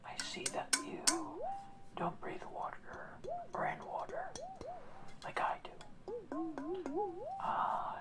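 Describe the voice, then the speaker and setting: A performer voicing a wise male orca guardian of Atlantis in a slow, soft ASMR whisper, quizzing the listener, a little distant from the mic. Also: close to an everyday voice. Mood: hushed, curious. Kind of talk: ASMR roleplay.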